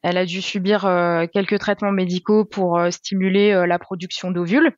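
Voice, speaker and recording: A woman in her twenties, a podcast guest, explaining things calmly and step by step with frequent hesitations. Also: on the low side, fairly quick, no echo, highs cut off. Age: 20s. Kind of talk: casual explanatory chat.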